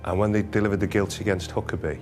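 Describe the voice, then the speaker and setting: Low-voiced man recounting a criminal case. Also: steady tone, very fast, over music. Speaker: interviewee from the prosecution side. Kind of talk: recollecting a case in documentary interview.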